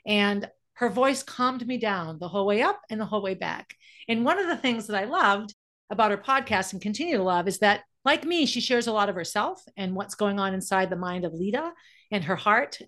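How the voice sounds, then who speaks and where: A woman speaks as a podcast host in a casual, conversational style. She sounds effusive and enthusiastic, at an average pitch for a woman. Her delivery is that of someone presenting a show and drawing out a guest. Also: lively intonation, brisk, fairly bright tone. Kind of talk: warm-up intro and casual interview chat.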